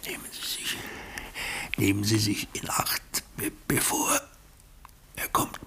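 An actor playing a gruff would-be gentleman gangster in a German radio play, with a husky, gravelly voice and a curt, commanding manner. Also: on the low side, level tone, slow, in a whisper, exaggerated voice. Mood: dying, weak.

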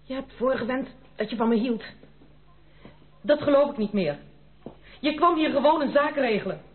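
Proud, indignant young noblewoman, high-voiced. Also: animated, normal speed. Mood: hurt, furious. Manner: haughty, dramatic, formal. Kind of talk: Dutch radio play acting. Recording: muffled narrow-band radio play recording.

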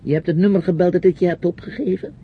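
A man's voice: high voice, fairly even intonation, quick pace, slight background noise, lightly acted. An actor playing a reproachful, patronising uncle questioning his niece in a radio play. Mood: probing, accusing. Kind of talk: radio drama acting. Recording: dull old radio play recording, music.

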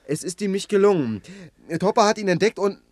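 A cowardly male crook in a radio crime satire. The voice is high and dry, stammering and wheedling as he grovels. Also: animated, a little fast, no echo. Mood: cowed, pleading. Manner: hesitant, stammering, obsequious. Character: cowardly, wheedling male small-time gangster. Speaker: radio drama actor.

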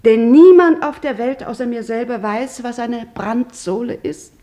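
An actress playing a shoemaker's widow in a 1950s radio play, rambling and musing but oddly practical. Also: female voice, on the high side, animated, a little slow, dark tone. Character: chatty, grieving widow of a shoemaker. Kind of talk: 1950s radio drama acting.

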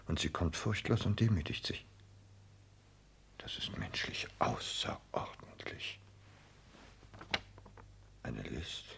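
An actor playing an obsequious, calculating private secretary in a radio play, with formal, deliberate diction and a gravelly voice. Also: male voice, extremely low pitch, fairly bright tone, full character voice. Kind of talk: 1960s German radio play acting. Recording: noisy old 1960s radio recording.

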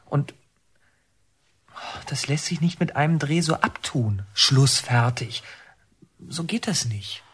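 A man's voice: a little high, expressive, fairly bright tone, slightly rough, heavily acted. An actor playing an earnest, stubborn young man of twenty-one in a naturalistic radio drama, speaking seriously. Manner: serious, terse, halting. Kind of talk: naturalistic German radio drama acting and narration.

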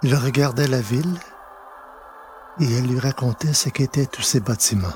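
A man narrating a literary story in French audio fiction, deliberately, pausing between short phrases. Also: mid-range voice, darkish tone, soft background music.

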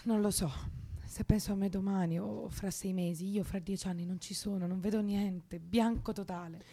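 Radio drama: anguished young female insomniac, bleak and contrary, natural medium pitch, clipped replies. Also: steady tone, normal speed, gravelly, warm tone. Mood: weary, bleak. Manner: despondent, contrary, terse. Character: anguished, sleepless young woman haunted by heavy thoughts. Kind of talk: Italian radio drama acting.

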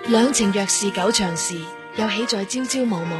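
A woman's voice: medium pitch, normal speed, over music. A woman with a Hong Kong accent reading a scripted radio drama introduction as announcer.

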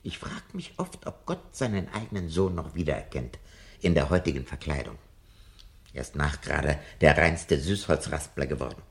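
Elderly bookish cynic for radio play: gravelly low voice, ruminative, theatrical. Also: male voice. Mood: sardonic, declaiming. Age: older. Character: disillusioned elderly bookish man, self-confessed coward. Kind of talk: 1970 German radio drama acting.

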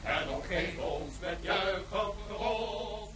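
A man's voice: high voice, takes it slowly, a bit bright, soft background music. A man playing a fervent militant follower in a radio drama, declaiming and chanting rousingly for his leader. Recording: echoey, distant, noisy.

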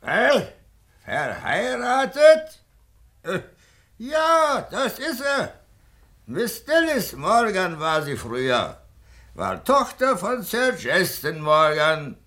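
An actor playing an old, hard-of-hearing village grandfather in a German radio play, halting and slow to catch on. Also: high-pitched, big pitch swings, sharp tone, heavily acted. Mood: slow, querulous. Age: older.

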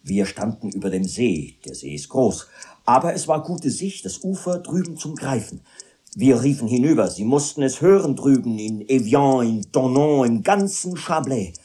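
An actor playing a brooding male private tutor who tells of revolution with passion, in a literary radio drama, his voice husky. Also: mid-range voice, sing-song, moderate pace, warm tone. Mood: impassioned.

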